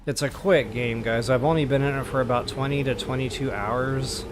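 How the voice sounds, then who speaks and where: A man's voice: medium pitch, fairly even intonation, normal speed. Casual male game streamer, noisy recording. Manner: rambling, folksy slang. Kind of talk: casual live gameplay walkthrough commentary.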